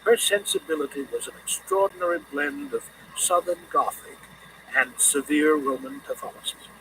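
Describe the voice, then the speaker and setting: Male literary narrator, measured and deliberate with lively intonation; recording sounds distant with clear background noise. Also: on the high side. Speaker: audiobook narrator.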